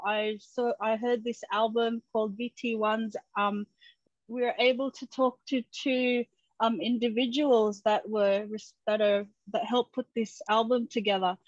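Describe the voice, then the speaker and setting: A woman's voice: on the high side, dull audio. A woman in her forties hosting a casual online interview show from a room at home over a video call. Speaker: host and interviewer.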